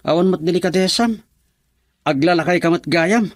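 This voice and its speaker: A man's voice: sits high, normal speed, natural voice. An actor with an Ilocano accent playing an insolent, jealous young man who sneers and accuses in a radio drama. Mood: scornful, accusing.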